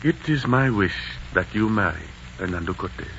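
An actor playing a proud, sorrowful captive Aztec emperor, speaking formally in a 1940s radio drama. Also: male voice, low voice, expressive, moderate pace, vocal fry, a bit bright, full character voice. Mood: resigned, solemn. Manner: formal, declamatory. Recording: noisy, dull 1940s radio recording.

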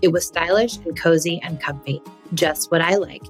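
Woman host, low voice, friendly ad read with soft music. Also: normal speed. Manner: friendly, chatty promotional delivery. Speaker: podcast host reading a sponsor ad.

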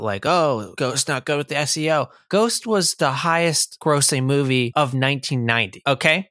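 A man's voice: medium pitch, pushed and bright, no room sound, studio quality. A man hosting a casual movie review podcast, bantering wryly with his co-host. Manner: wry, bickering with co-host.

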